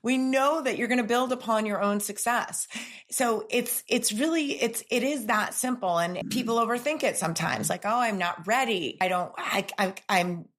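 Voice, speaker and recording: A woman's voice: a little high, normal speed, a bit bright. Middle-aged woman hosting a chat, upbeat and encouraging, kinda repeats herself starting sentences. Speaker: podcast host and gym owner. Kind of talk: casual interview talk.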